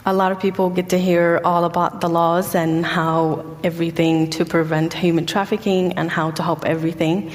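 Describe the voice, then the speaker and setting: A young woman from Egypt giving a personal testimony as a guest speaker, halting often with ums and pauses. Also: on the low side, level tone, in a large hall. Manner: hesitant, many ums and pauses. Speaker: guest speaker sharing her story.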